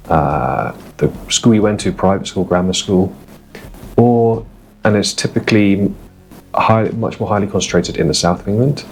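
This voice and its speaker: A man with a received pronunciation accent hosting an accent tour vlog, speaking in an explanatory, measured way. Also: deep voice, a little mellow, poor audio. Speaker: host.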